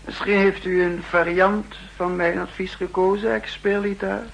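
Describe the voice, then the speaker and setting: An actor playing a polite, probing counter-espionage chief with measured courtesy, in 1960s radio drama style. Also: male voice, sits high, flat delivery, gravelly, fairly bright tone, lo-fi sound, slight background noise. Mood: courteous, probing.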